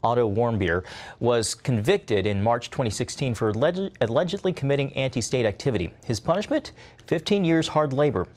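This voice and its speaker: A man anchoring a television news broadcast, emphatic and crisp. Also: mid-range voice, sing-song, fairly quick, a little mellow.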